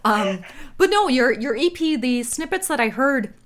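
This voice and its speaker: Chatty woman interviewer, slightly high voice. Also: sing-song, normal speed. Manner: chatty, with frequent affirming murmurs. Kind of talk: casual interview talk.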